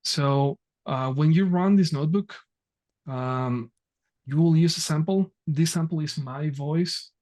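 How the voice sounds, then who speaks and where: A man presenting an online technical workshop over a video call, talking haltingly with many fillers and restarts. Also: fairly high pitch, a little mellow.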